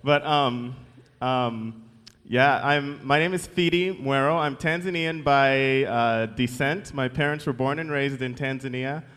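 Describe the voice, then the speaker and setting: A man's voice: mid-range voice, unhurried, a bit bright, clean tone. An American man in his twenties, a youth pastor, speaking informally to a congregation in an upbeat, chatty, grateful way. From United States. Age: 20s. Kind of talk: informal self-introduction to a congregation. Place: large church hall. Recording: long hall reverb from church sound system.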